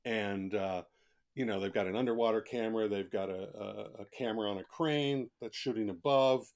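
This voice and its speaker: Low-voiced man telling anecdotes in an interview. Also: moderate pace. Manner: conversational, emphatic, colloquial. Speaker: author, interview guest. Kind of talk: casual podcast interview, anecdotal storytelling.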